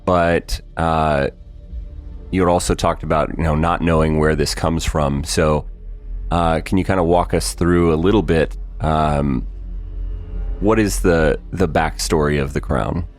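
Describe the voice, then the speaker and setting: A man co-hosting a behind-the-scenes podcast, steering the talk with measured questions and frequent fillers. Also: a bass voice, steady tone, faint music behind. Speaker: podcast co-host, interviewer. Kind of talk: casual behind-the-scenes chat.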